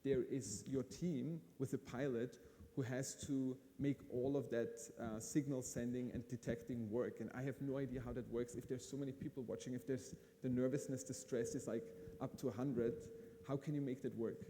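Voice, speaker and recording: Mellow Austrian man hosting in a hall. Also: mid-range voice, steady tone, moderate pace. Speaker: event host. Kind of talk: live on-stage interview, informal and conversational. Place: large hall at a live event in Austria. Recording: hall reverb, audible room noise.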